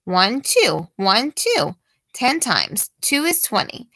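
A fussy, excitable storybook schoolteacher voiced by a woman reader, bright and high-pitched. She exclaims emphatically and swings widely in pitch as she spells things out for her pupils. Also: slow, dry recording, exaggerated voice. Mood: excited, then dismayed.

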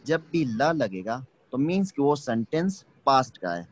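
A man teaching an online language class, explaining slowly and checking that students follow. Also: mid-range voice, very animated. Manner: patient, explains slowly, checks understanding often. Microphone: video call.

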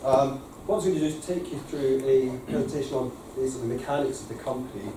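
A man with a Scottish accent presenting to a council panel in a relaxed, self-deprecating way with many fillers. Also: mid-range voice, fast talker, a little hiss or hum. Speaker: company managing director presenting. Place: large council committee room. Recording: echoey committee room from far off.

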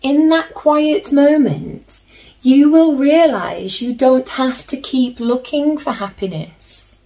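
A woman in her thirties reading a picture book aloud to children in a gentle, deliberate manner, with chatty asides. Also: high voice. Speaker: children's story reader. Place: small plain room indoors.

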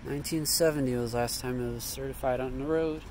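A man's voice: mid-range voice, steady tone, brisk, darkish tone, slight background noise. A man casually talking through what he sees as he walks around, thinking aloud and correcting himself, recorded outdoors on a handheld phone. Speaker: vlogger. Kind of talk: casual walkaround commentary. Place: outdoor parking lot.

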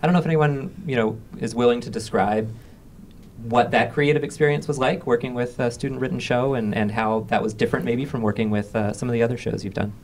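A man interviewing guests conversationally, with hesitant, halting phrasing. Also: medium pitch, steady tone, darkish tone. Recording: faint background room noise.

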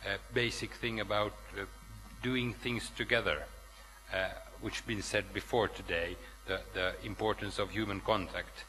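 A middle-aged man on a panel, speaking deliberately with many fillers, on a conference hall stage. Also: lowish voice, fairly even intonation. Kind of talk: panel discussion answer. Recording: reverberant hall with faint hum.

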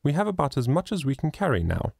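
A man doing a gentle refined mother. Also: on the high side, sing-song, fast, vocal fry, no room sound, clean studio sound, voice pushed up, full character voice. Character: kindly gentle mother, a lady.

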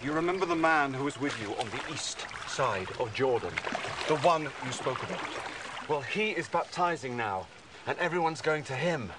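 A man acting as a concerned young follower of a prophet in a biblical film. His mid-pitched voice is slightly distant, with very loud water noise around it. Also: lively intonation, normal speed, natural voice. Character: concerned male disciple addressing his teacher. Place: outdoors by water. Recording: distant dialogue over loud running water.

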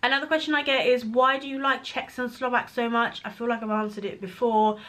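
A woman's voice: a little high, fairly even intonation, brisk, fairly bright tone. A woman talks straight into a close camera, answering viewers' questions one after another. Her delivery is frank and chatty, like a casual home vlog recorded in a small bedroom. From Congo. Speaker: vlogger. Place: bedroom nursery, indoors. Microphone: camera at close range.

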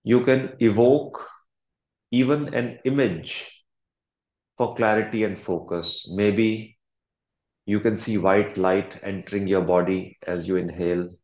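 A man leading a guided meditation as a coach, speaking calmly and deliberately with long pauses and soothing instructions. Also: on the low side, roomy sound, lo-fi sound.

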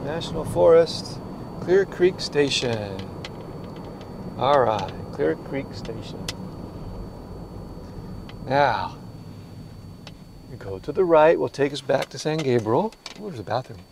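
Gravelly man narrating a mountain drive. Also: mid-range voice, takes it slowly, long pauses. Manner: relaxed, rambling commentary with fillers. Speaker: road trip vlogger. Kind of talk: casual narration while driving and walking. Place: in a car on a mountain road, then outdoors at a parking area. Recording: noisy car and outdoor recording. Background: car and road noise.

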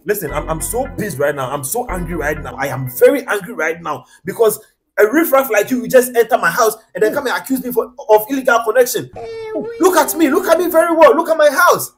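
A man's voice: fairly high pitch, animated, fairly quick, hardly any pauses, faint music behind, close to an everyday voice. A man playing a pompous, irritable householder in a comedy skit, scolding and repeating himself. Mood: enraged.

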